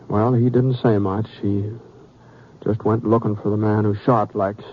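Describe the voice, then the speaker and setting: An actor playing a mild, modest American cowboy gunslinger in a radio western, halting and plain-spoken, with a folksy drawl. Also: male voice, lowish voice, flat delivery. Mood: gentle, hesitant. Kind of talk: 1950s radio western acting and narration. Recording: muffled old radio broadcast, music bed.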